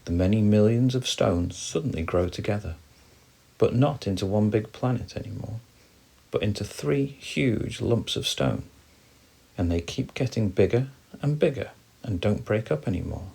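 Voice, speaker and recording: A man gently reading a bedtime story for children, expressive and soft. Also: fairly low pitch, moderate pace, vocal fry, breathy edge, low-quality recording.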